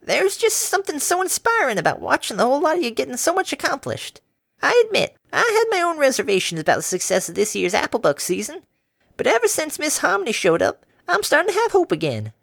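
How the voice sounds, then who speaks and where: A man's voice: extremely high pitch, wildly swooping pitch, quick pace, creaky, fairly bright tone, no echo, clean studio sound, voice pushed up, heavily acted. A man voicing an elderly farm mare with a sing-songy, giggly old-lady drawl. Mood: chirpy. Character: chirpy elderly farm mare, sweet and doting.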